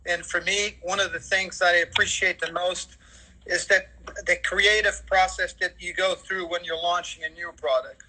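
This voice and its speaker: Bright-voiced Portuguese man, higher-pitched. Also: fairly even intonation, moderate pace, poor audio. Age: 50s. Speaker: guest, sports events general manager. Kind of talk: interview answers on a livestream.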